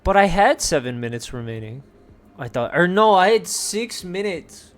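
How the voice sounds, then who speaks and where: A man's voice: high-pitched, big pitch swings, moderate pace. A man doing casual video game commentary, talking to himself and drifting between exclamations and muttering.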